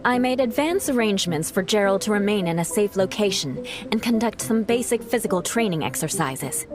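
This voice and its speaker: A woman's voice: mid-range voice, animated, a little fast, close to an everyday voice. A woman voicing a formal, precise young adventurer in a video game. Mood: composed. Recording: game audio with music.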